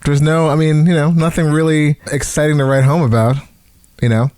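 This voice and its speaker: A man's voice: fairly high pitch, fairly even intonation, brisk, darkish tone, no echo, clean studio sound. A man co-hosting a podcast, laid-back and wry, with many fillers. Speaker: podcast co-host. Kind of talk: casual chat.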